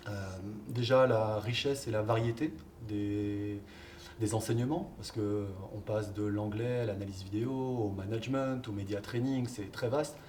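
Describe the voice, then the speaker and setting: A man, a football coach, answering interview questions in a relaxed, hesitant way with many fillers. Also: lowish voice, normal speed. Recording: distant mic, background noise.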